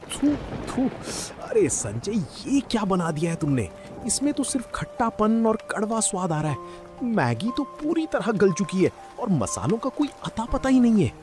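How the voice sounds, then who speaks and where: A man playing a blunt, exclamatory village big shot in an animated storybook story. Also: high-pitched, measured pace, music in the background. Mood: disgusted, scolding. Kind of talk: animated Hindi storybook dialogue.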